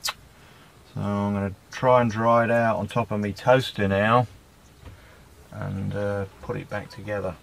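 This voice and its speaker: A man's voice: lowish voice, little pitch movement, unhurried, gravelly, long pauses, fairly bright tone, slight background noise. A man in his fifties with an Australian accent, chatting casually to his own camera with lots of "uh" fillers. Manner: rambling, many "uh" fillers, laid-back. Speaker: sailing vlogger. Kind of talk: casual self-filmed commentary. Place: outdoors on a windy beach and on a small sailboat. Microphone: camera mic, handheld or mounted.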